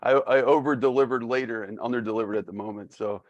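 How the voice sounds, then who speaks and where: A man's voice: medium pitch, fairly even intonation, fast, highs cut off. A man hosting a podcast interview, encouraging and supportive, often restarting his sentences and chuckling. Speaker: podcast host. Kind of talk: interview host.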